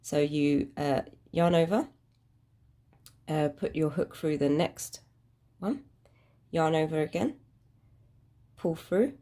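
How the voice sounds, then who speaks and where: A woman's voice: low-pitched, slow, long pauses, warm tone. A British woman with a congested, cold-affected voice, giving an informal craft tutorial in a hesitant, rambling, self-deprecating way. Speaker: crafting channel host.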